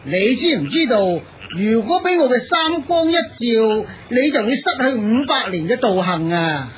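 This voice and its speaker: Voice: mid-range voice, animated, moderate pace, noisy background, lightly acted. An actor in a Cantonese radio drama playing a mad, mischievous holy monk with a clownish, teasing way of exclaiming. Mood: threatening.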